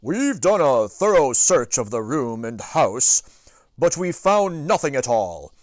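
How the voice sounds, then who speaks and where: A man voicing an earnest police officer in a children's storybook read-aloud, loud and official. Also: medium pitch, very animated, takes it slowly, dry recording.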